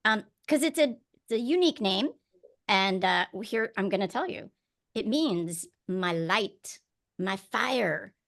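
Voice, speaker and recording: A woman's voice: mid-range voice, expressive, measured pace, vocal fry, fairly bright tone. A woman hosting a casual interview, talking in a chatty, informal and joking way. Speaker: podcast host. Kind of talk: fun casual interview chat.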